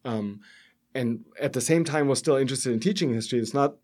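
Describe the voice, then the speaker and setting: A middle-aged man from Germany with a German accent, speaking as an academic guest in an interview, haltingly, often checking with a questioning tag. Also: medium pitch, darkish tone. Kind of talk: interview, explanatory academic discussion.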